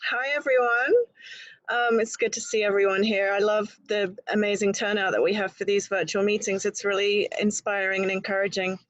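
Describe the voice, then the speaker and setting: A woman's voice: mid-range voice, fairly even intonation, fairly quick, no echo. A woman in her thirties with an English accent, introducing herself at a virtual club meeting with frequent ums. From England. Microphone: video call. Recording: dull, dry video-call audio.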